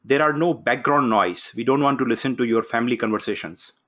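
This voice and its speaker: A man opening an online course from his home office, giving instructions briskly and calling on participants one by one. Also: medium pitch, fairly bright tone, highs cut off. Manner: brisk, instructive, takes roll call. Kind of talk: live online class introduction. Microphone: video call.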